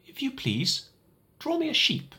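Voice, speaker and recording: A man voicing a small, earnest boy from a tiny world who asks for things gravely and patiently. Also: wildly swooping pitch, measured pace, full character voice. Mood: pleading. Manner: high, soft, patient and serious. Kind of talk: audiobook character voice.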